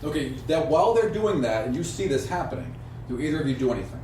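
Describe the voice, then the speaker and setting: A man's voice: fairly high pitch, very animated, fast talker, warm tone. A man casually explaining the scene to players as game master of a tabletop game. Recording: echoey room with clear background noise.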